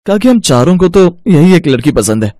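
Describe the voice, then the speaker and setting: A man voicing a respectful, earnest and excitable young village farmer in a storybook tale. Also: fairly high pitch, moderate pace, no room sound, studio quality, voice pushed up. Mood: bashful.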